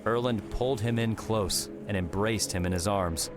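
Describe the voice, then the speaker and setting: A male narrator reads a story in a fairly low voice. His scripted audiobook delivery sits over clear background music. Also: normal speed. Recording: music behind the voice.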